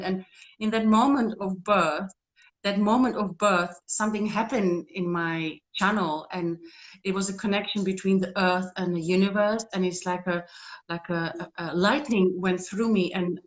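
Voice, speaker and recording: A woman's voice: mid-range voice, steady tone, normal speed, low-quality recording. A woman, a guest on a video call, talking earnestly and rambling with frequent restarts. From Czech Republic. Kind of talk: casual interview talk.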